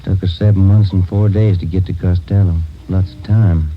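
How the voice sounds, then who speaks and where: An American actor playing a plain-spoken, matter-of-fact police detective partner in a 1950s radio crime drama. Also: male voice, sits low, steady tone, moderate pace, natural voice. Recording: dull vintage radio recording, faint noise.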